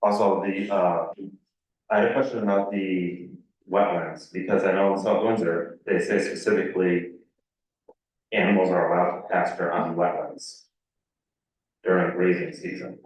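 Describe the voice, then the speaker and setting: A very echoey, distant recording of an American man with a low voice. He gives plain-spoken, halting public comment as a town resident. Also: level tone. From Connecticut, United States. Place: indoor public meeting room. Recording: very echoey meeting room, distant muffled mic.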